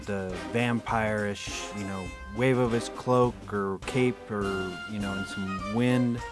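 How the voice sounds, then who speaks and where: A man, an audio editor, giving casual, rambling behind-the-scenes commentary in an informal way. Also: on the low side. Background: music.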